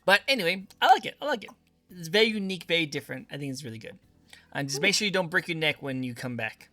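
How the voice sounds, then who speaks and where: A bubbly male host chats and laughs easily. His voice is bright and a little high, and it sounds dry and close to the mic. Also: expressive, fast, no room sound. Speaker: interviewer, stream host. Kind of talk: casual chat.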